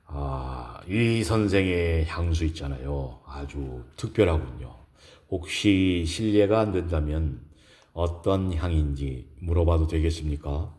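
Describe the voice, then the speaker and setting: A man's voice: a bass voice, lively intonation, takes it slowly, a little mellow, pitched below the performer's own voice, heavily acted. A man playing a reserved surgeon professor in a romance audio drama, formal, modest and courteous. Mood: hesitant, curious.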